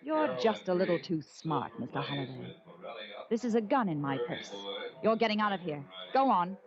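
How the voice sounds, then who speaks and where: A woman's voice: on the low side, big pitch swings, moderate pace, crowd noise. A woman playing a cool, shrewd racetrack swindler in a 1940s radio drama, controlled and knowing. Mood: cold threat. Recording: muffled vintage radio recording.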